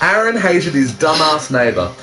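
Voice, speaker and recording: A man's voice: a little high, sing-song, normal speed, low-quality recording. A man co-hosting a casual fan fiction reading, with dry teasing, plenty of swearing and an amateur read-aloud style.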